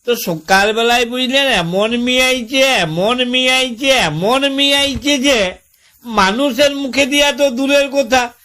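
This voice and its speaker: A man's voice: very high voice, unhurried, pushed and bright, heavily acted. A man playing an aggrieved village husband in a comic phone-call skit, telling long-winded, folksy complaints in a rural West Bengal accent. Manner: folksy, long-winded storytelling with exasperated repetition. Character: aggrieved village husband complaining about his new fridge.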